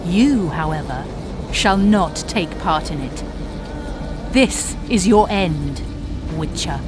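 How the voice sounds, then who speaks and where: A woman's voice: on the low side, lively intonation, slow pace. A woman playing a bitter, menacing sorceress in a video game, with an imperious, disdainful, theatrical delivery. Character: haughty scheming sorceress, bitter, menacing and contemptuous. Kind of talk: dramatic video game acting. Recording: loud music under the voice.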